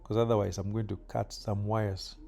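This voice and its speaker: Gravelly, dark-voiced Kenyan man vlogging. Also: on the low side. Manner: relaxed, chatty, frequent filler pauses. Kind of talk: casual yard-work vlog narration. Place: outdoors in a yard.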